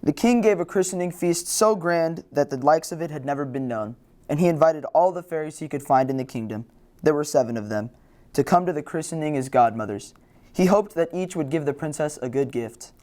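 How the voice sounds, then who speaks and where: A storyteller narrates a scripted fairy tale. The voice sits at a middle pitch and reads from a written storybook text. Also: dark tone.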